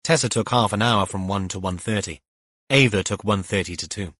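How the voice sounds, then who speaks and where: Low-voiced man narrating a mystery audiobook. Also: a little fast, a bit bright, dry recording, studio quality. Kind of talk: scripted mystery novel narration.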